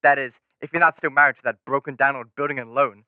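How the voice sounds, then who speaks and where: A teenage student actor playing a brash, pushy businessman making a pitch over the telephone in a radio drama. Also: steady tone, fast, no room sound. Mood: eager, pushy. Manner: pushy, emphatic. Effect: telephone filter. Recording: tinny dry phone-line sound.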